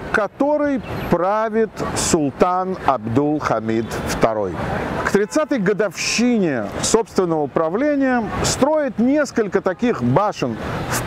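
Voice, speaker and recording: A man in his fifties, from Russia, talking as a local tour guide in a thoughtful, casual way with frequent "uh" pauses. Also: sits high. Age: 50s. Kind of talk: casual guiding talk on a walk. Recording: street noise behind.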